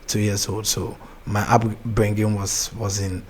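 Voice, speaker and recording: A young Ghanaian man with a Ghanaian accent, a gospel artist chatting as an interview guest, soft-spoken, laughing easily and often restarting his sentences. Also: on the low side, level tone, a little slow. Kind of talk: casual interview chat.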